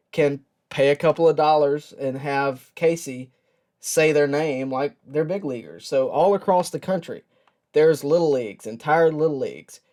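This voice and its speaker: Male sports host, medium pitch, soft-edged mellow voice; reflective, sincere tribute delivery with thoughtful pauses. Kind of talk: personal tribute talk to camera.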